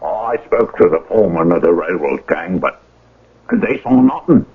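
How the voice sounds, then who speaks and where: An actor playing a meek, respectful station master in a radio mystery drama, with a husky voice. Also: male voice, low-pitched, lively intonation, normal speed, exaggerated voice. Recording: lo-fi old radio broadcast recording.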